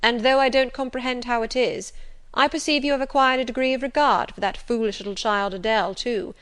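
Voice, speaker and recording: A woman voicing an imperious, teasing middle-aged gentleman in an audiobook, with formal, pompous and emphatic delivery. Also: a little high, fairly even intonation, fairly quick, clean tone, voice pushed up, lightly acted. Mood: composed, commanding. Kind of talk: audiobook character dialogue.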